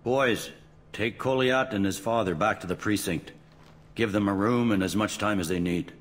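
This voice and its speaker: A man plays a world-weary police captain in a video game. He is gruff, blunt and wry in a low voice, heard with long reverb and faint background noise. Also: normal speed, natural voice. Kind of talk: video game character dialogue.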